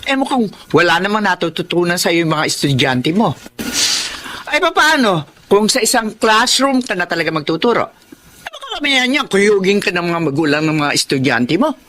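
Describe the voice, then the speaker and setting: A woman's voice: fairly low pitch, big pitch swings, moderate pace, slight background noise. A woman acting a scornful older Filipina mother in a radio drama, scolding and exasperated. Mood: scornful, nagging. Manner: scolding, exasperated, sharp-tongued.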